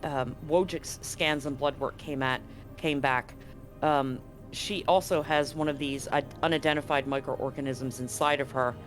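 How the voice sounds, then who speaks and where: A man's voice: mid-range voice, fairly even intonation, normal speed, a bit bright, soft background music, lightly acted. A man playing an anxious, grave doctor in a tabletop game, explaining things clinically and hesitantly. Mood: uneasy, confessing. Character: anxious, grave male doctor at a remote medical facility.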